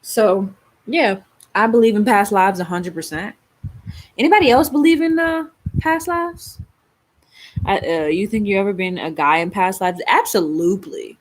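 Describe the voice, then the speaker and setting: A woman's voice: mid-range voice, lively intonation, normal speed. A young woman from Baltimore with a Baltimore accent, hosting a casual live stream with rambling, laughing talk and lots of fillers. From Baltimore, Maryland. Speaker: livestream host.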